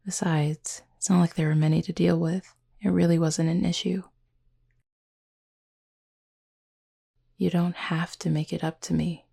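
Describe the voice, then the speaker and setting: Woman playing a low, husky, gentle cupid roommate. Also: full character voice. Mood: composed, fond. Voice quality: soft, breathy, slightly husky. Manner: measured, formal wording, gently teasing. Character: gentle, formal cupid roommate with a tail, quietly fond. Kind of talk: intimate roleplay audio acting, addressed to listener.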